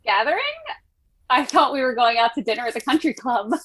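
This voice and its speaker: A woman playing a hopeful young woman frustrated with her boyfriend, earnest and expressive, in a musical theatre read-through. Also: on the high side, brisk, rough recording. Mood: surprised, questioning. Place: recorded remotely online.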